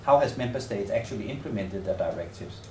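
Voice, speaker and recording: A man from Denmark with a Danish accent presents at a seminar as a researcher, speaking English as a second language in a halting, tentative way, thinking aloud and repeating words. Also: fairly low pitch, expressive, warm tone. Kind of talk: semi-improvised conference presentation. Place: seminar room in a hotel. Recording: echoey room, distant mic, faint noise.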